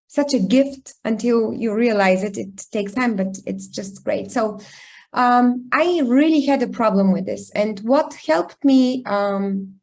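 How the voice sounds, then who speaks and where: Brief: woman, a bit higher pitched, candid storyteller, hesitant ums, video call sound. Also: creaky, darkish tone. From Bulgaria. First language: Bulgarian. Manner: reflective, thoughtful, with frequent pauses and ums. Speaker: guest speaker, founder. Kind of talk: candid personal storytelling in an online talk.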